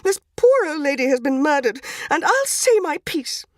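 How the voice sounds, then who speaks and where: Indignant lady passenger acted by a woman reader: high, shrill, prim, swooping outrage, storybook style. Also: very animated, moderate pace, no room sound. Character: indignant, easily upset railway passenger lady. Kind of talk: dramatised story reading.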